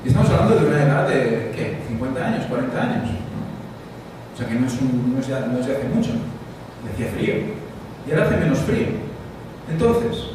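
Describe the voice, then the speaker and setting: A man from Spain with a Spanish accent, giving a conference talk with frequent pauses and "eh" fillers. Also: mid-range voice, expressive. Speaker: conference speaker. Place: conference hall. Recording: distant mic in echoey hall, noisy.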